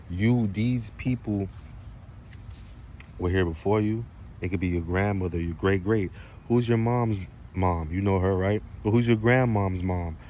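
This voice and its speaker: A man's voice: low-pitched, a little mellow, slight background noise. A man giving an informal, slangy spiritual monologue in an emphatic, repetitive manner, often posing rhetorical questions. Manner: casual and slangy with some swearing. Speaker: spiritual content creator and speaker.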